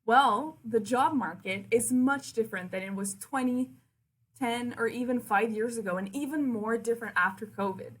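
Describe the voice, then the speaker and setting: A woman in her twenties from Quebec City speaks with a French Canadian accent, French being her first language. Her voice sits a little high. She presents in a friendly, conversational way, talking straight to her listeners as a university liaison officer. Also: normal speed, breathy edge. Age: 20s. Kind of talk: virtual open house presentation.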